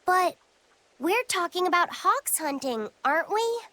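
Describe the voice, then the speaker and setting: A woman voicing a gentle, curious young boy in a game, earnest and a little hesitant. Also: very high voice, big pitch swings, a little slow, a little hiss or hum, full character voice.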